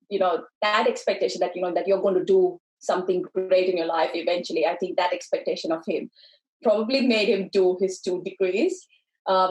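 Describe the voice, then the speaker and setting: A woman in her thirties, originally from India, talks as a podcast guest. Her voice sits at a middle pitch, and she rambles easily, often stopping to restart with an um. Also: darkish tone, low-quality recording. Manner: chatty, with frequent ums and restarts. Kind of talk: casual interview chat.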